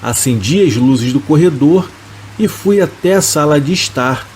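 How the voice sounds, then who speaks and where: Guy reading scary stories slow and steady, mid pitch, light rain hiss behind. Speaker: horror story narrator. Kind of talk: scripted narration of horror stories. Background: rain sounds.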